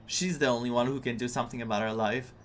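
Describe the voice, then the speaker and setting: A man doing casual, reactive commentary on a game playthrough, speaking in an expressive, emotionally invested way. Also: medium pitch, quick pace, slight background noise. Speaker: gamer streaming a playthrough. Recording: game music behind the voice.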